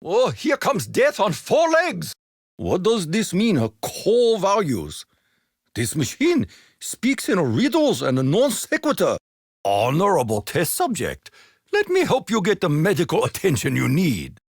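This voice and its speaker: A proud Japanese imperial soldier. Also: male voice, sits high, wildly swooping pitch, measured pace, vocal fry, husky, no echo, clean studio sound, exaggerated voice. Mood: formal. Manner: formal, stiff phrasing with sudden comic deflation. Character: proud, honor-bound Japanese imperial soldier, dry wit. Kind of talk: video game voice lines.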